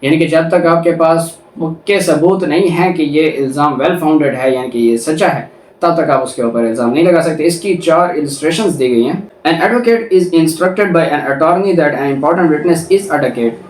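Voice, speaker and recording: A man's voice: on the high side, measured pace. A Pakistani man giving a didactic law lecture, reading formal text and explaining it by rephrasing and repeating. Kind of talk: lecture, reading statutes in English and explaining them in Urdu. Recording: roomy echo, distant from the mic.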